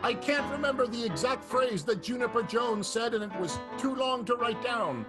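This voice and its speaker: A man's voice: very high voice, expressive, moderate pace, music in the background. A man hosting and narrating an improvised radio play with a wry, theatrical announcer delivery. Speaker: narrator and host.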